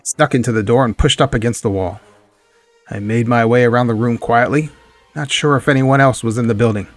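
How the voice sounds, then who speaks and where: A man's voice: mid-range voice, a little fast, faint music behind, natural voice. Man playing a wry hard-boiled radio private eye. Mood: brooding narration. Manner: wry, deadpan, wisecracking. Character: hard-boiled, wisecracking male private detective narrating his case. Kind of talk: 1940s radio detective drama acting.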